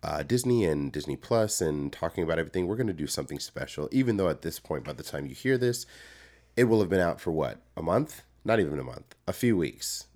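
A man co-hosting a podcast in casual chat, hoarse from being sick, playful and rambling. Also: low-pitched, big pitch swings, brisk, a little mellow. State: hoarse from illness. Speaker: podcast co-host.

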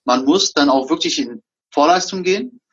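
A man from Berlin talks through his career in relaxed conversation, slipping into the voices of the people he quotes. His voice sits a bit high and has a husky edge. The recording sounds dull and lo-fi. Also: normal speed. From Berlin, Germany. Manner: anecdotal, acts out dialogue. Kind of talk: casual conversation.

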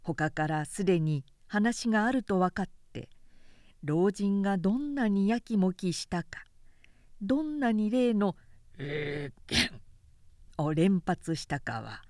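Slow, expressive woman narrator. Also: mid-range voice, no room sound, studio quality. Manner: measured, expressive storytelling delivery. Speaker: narrator reading a short story aloud. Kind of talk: scripted literary narration, audiobook recitation.

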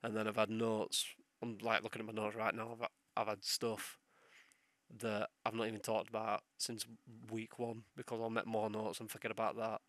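A man's voice: lowish voice, completely flat delivery, normal speed, fairly bright tone, no echo. A young man hosting a solo podcast while ill, with a sore throat and sniffles, rambling and swearing as he loses his train of thought. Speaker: podcast host.

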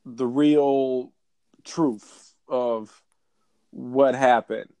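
A man's voice: mid-range voice, darkish tone, smooth, dry recording. Laid-back male co-host, loose chatty delivery, dry close mic. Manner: laid-back, repeats phrases for emphasis. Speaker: podcast co-host. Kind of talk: casual sports podcast chat.

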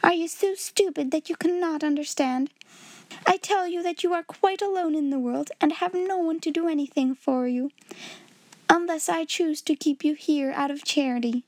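A woman voicing a cold, domineering schoolmistress with a sharp, scolding manner, in a storybook reading. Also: high-pitched, measured pace, no echo, voice pushed up. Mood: angry, scornful.

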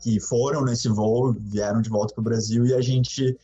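A man recounts events in a loose, rambling way, leaning on fillers as he goes. His voice is mellow and sits at an ordinary pitch. The audio is somewhat dull and muffled, with faint music behind him. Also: unhurried. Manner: relaxed, rambling storytelling with fillers. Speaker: podcast guest. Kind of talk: casual chat.

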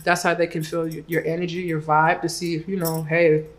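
A woman's voice: low-pitched, brisk. Upbeat, chatty woman rapper. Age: adult mother. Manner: upbeat, energetic, chatty with many fillers. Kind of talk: casual interview answers.

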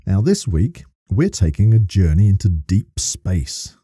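A man's voice: low-pitched, sing-song, a little slow, mellow, breathy edge, no echo, studio quality. A man co-hosting a podcast in casual chat, with theatrical emphasis. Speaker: podcast co-host.

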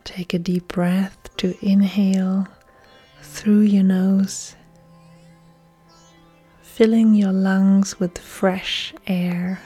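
A woman yoga instructor leading a guided relaxation meditation in a soft, breathy, soothing delivery with long pauses. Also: mid-range voice, little pitch movement. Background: soft music.

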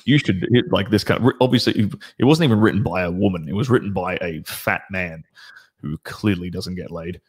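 A man with a deep voice, a guest dating coach talking confidently in casual chat, often rambling and restarting. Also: a little fast, breathy edge.

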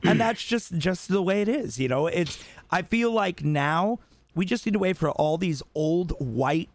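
Late-thirties male co-host, gravelly and raspy-edged, blunt, jokey, dry close sound. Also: high voice, lively intonation, a little slow, no room sound. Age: 30s. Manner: blunt, joking, lots of fillers. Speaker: podcast co-host. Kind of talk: casual chat.

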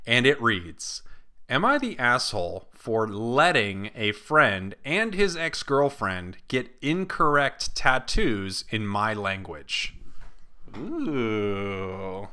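A man's voice: medium pitch, pushed and bright. A young man hosting a comedy podcast, joking playfully and reading a post aloud. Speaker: podcast host. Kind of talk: casual comedic podcast chat.

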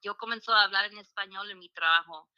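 A woman in her forties, a podcast guest, talking in a halting way with many restarts and switching between Spanish and English. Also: medium pitch, smooth, highs cut off. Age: 40s. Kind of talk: casual interview chat.

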